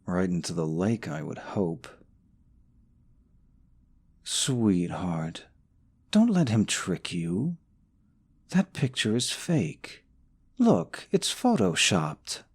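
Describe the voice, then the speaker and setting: A man's voice: deep voice, sing-song, drawn-out words, pauses often, breathy edge, heavily acted. A man playing a young, smug, possessive boyfriend in an audio roleplay, sarcastic toward his rival and syrupy sweet to the listener. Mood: smug, coaxing. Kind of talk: scripted romantic audio roleplay.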